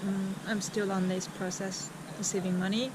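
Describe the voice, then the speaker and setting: A young woman from Mongolia speaking English with a Mongolian accent in a casual, halting vlog chat, laughing often. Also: medium pitch, little pitch movement. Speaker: vlogger. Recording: loud background noise.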